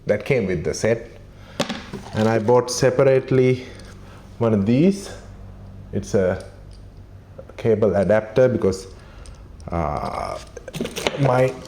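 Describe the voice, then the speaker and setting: A man giving a casual, low-key and somewhat hesitant unboxing and installation walkthrough. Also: darkish tone. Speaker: vlogger demonstrating a product. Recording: clearly noisy, vehicle ambience.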